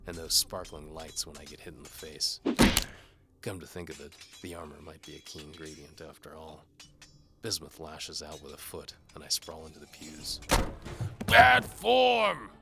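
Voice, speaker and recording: A man narrating an audio drama in the first person as a world-weary mercenary detective, with a wry, deadpan, gravelly delivery. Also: a bass voice. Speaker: narrator and lead actor. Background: music.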